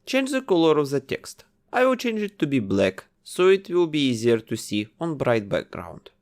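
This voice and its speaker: Man narrating a software tutorial, lively pitch. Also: normal speed. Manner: methodical, instructive, explains each step. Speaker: tutorial host.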